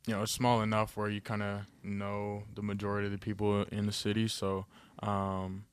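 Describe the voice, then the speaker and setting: A man's voice: fairly low pitch, completely flat delivery, moderate pace, clean tone. A young man from Ontario, speaking as a guest athlete and giving casual interview answers. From Ontario, Canada. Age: young adult.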